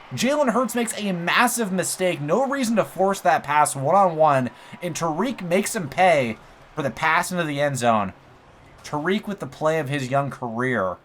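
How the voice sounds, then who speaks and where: A young man giving casual play-by-play commentary over a football video game, excitable, with his voice going a little hoarse. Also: a little high. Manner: excitable, talks through plays, says bro and like. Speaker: gaming YouTuber. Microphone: USB desk mic.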